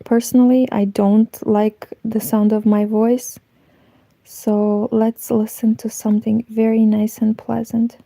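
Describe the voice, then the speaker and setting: Moldovan woman w romanian accent, gravelly voice, slow easygoing chat, kinda dark tone. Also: fairly high pitch, little pitch movement. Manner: incredulous, chatty asides. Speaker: knitting vlogger. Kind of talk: reacting to a video, casual chat.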